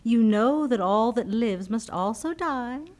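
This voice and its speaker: Lady acting a regal queen mum, gentle pleading, highish and slow, shakespeare puppets. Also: lively intonation. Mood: soothing. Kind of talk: theatrical Shakespearean stage acting for puppet show.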